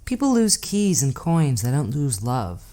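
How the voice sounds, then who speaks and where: A woman acting in an audio drama as an enigmatic hitchhiker, an unflappable collector who speaks in a measured, cryptic, knowing way. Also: extremely low pitch, big pitch swings, warm tone, heavily acted. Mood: calm, probing.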